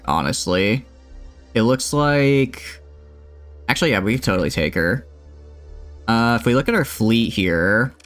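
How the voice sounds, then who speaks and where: A man's voice: on the low side, fairly bright tone. A man chatting with viewers while streaming a game, rambling and joking at his own expense. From United States. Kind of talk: casual gameplay stream chat.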